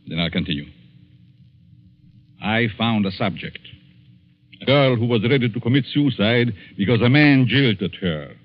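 A man's voice: mid-range voice, expressive, a little slow, pauses often. An actor playing a gloating, sinister madman in a 1940s radio suspense drama, menacing and theatrical as he taunts. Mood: cold, probing. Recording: dull, band-limited 1940s radio recording.

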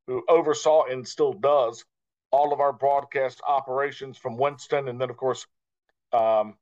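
Dull-sounding lo-fi man, measured interview talk. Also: mid-range voice. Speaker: guest, radio play-by-play broadcaster. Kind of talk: conversational interview answers.

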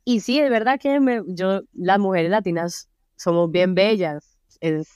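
A young Colombian woman with a Colombian Spanish accent, chatting casually as an interview guest, relaxed and full of fillers. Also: medium pitch, a little slow, clean tone, dry recording, studio quality. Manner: relaxed, many fillers like "o sea" and "como". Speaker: singer-songwriter guest. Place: friends' apartment.

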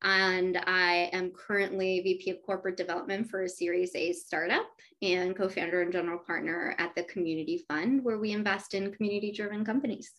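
A woman's voice: fairly low pitch, fairly even intonation, brisk, a bit bright. A woman whose first language is Spanish, a venture capital partner speaking enthusiastically as an interview guest. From United States. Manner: upbeat, enthusiastic, businesslike. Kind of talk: conversational interview.

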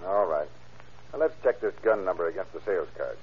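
A skeptical lawman in a vintage radio crime play. He talks fast, clipped and businesslike. The recording is dull, with faint noise behind it. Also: sits low, animated. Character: brisk, doubting lawman questioning a suspect. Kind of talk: old-time radio crime drama acting.

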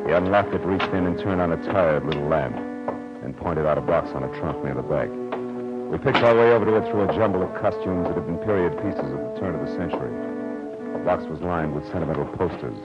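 A man's voice: low voice, close to an everyday voice. An American man acting a tough, wry private detective in a 1940s radio drama, speaking in a clipped, hard-boiled way. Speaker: lead actor and narrator. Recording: muffled old radio recording, loud music.

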